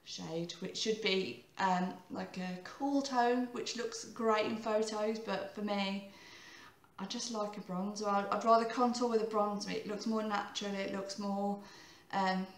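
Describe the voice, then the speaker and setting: A woman in her thirties with a croaky voice, giving a chatty, rambling makeup tutorial and often correcting herself. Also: mid-range voice, steady tone, a little slow, roomy sound. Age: 30s.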